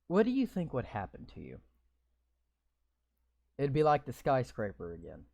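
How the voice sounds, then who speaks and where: A man reading a calm teleporting girl. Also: mid-range voice, moderate pace, gravelly, full character voice. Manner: read aloud flatly, little acting. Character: young woman who can teleport.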